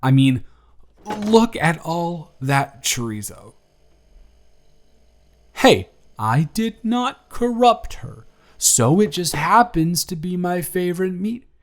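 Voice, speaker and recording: A young man playing a grateful single father who talks softly and teases gently in a romantic audio story. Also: high voice, big pitch swings, slow, exaggerated voice. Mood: tender, playful. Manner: soft-spoken, gently teasing. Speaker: audio drama performer.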